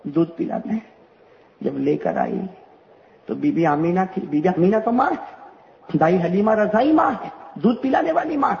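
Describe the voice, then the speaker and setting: Male preacher, very high voice, repetitive rhetorical style, muffled lo-fi recording. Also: vocal fry, a little hiss or hum. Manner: repetitive, rhetorical, calls out questions to the crowd. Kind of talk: religious speech to a gathering. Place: religious gathering. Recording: dull lo-fi recording.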